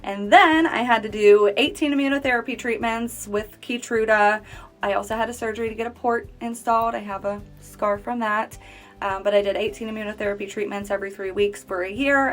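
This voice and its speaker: A woman's voice: medium pitch, fairly even intonation, a little fast, soft background music. A woman in her late twenties, a cancer survivor, earnestly telling her personal story in a conversational way. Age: 20s. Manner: earnest, conversational, speaking straight to viewers. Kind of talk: personal testimonial.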